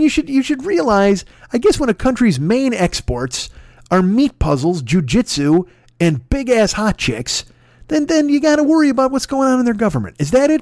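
A man's voice: very high voice, darkish tone, dry recording, studio quality. A man hosting a podcast, delivering a profane, sarcastic comedic rant. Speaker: podcast host.

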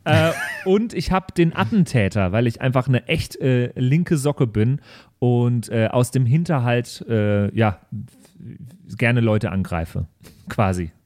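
A man co-hosting a role-play podcast, chatting casually and often pausing to search for words. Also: medium pitch, lively intonation, a little mellow, no echo. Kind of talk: casual chat.

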